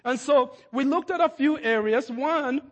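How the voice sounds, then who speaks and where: High-voiced pastor preaching in a reverberant hall. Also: male voice, fairly bright tone. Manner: halting, repeats words, rises to emphatic peaks. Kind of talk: sermon.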